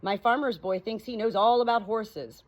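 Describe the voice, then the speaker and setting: A woman's voice: on the high side, moderate pace, voice pushed up, natural voice. A woman voicing a blunt, grumbling plow horse in an audiobook, plain-spoken, complaining and pleased with itself. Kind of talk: audiobook character dialogue. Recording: clearly noisy home recording.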